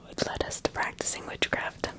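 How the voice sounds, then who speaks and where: A woman's voice: moderate pace, lightly acted. Woman acting a whispering, insistent witch-trial magistrate. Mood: probing. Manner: interrogating, insistent, repeating questions. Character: stern, probing magistrate questioning accused witches. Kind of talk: whispered ASMR roleplay.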